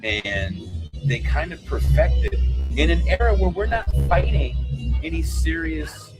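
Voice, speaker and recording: A man with a booming voice giving opinions in a casual podcast discussion, making his points emphatically in repeated phrases. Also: animated, takes it slowly, rough recording. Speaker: podcast host. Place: bar. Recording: loud music behind the voice. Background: loud music.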